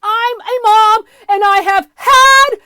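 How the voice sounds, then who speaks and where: A woman voicing an exasperated mom who has had enough, shouting emphatically in a comedy sketch. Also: very high-pitched, slow pace, no room sound, studio quality, voice pushed up, exaggerated voice. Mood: furious, fed up. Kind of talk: comedy sketch character for audition reel.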